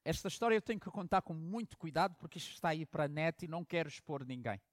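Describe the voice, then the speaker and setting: A pastor from Angola preaches from a story, booming on key words and then dropping to hushed asides. The sound is close and dry, with no room around him. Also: male voice, expressive, very fast, no echo. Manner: storytelling preacher with dramatic swings from loud emphasis to hushed asides. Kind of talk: sermon.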